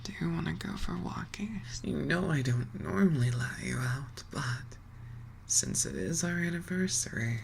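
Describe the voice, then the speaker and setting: A woman playing a possessive, coolly menacing yandere in an ASMR roleplay, speaking in a slow, deliberate, breathy whisper. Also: low voice, lively intonation, a little hiss or hum. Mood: doting, controlling. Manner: slow, deliberate, controlled, threatening.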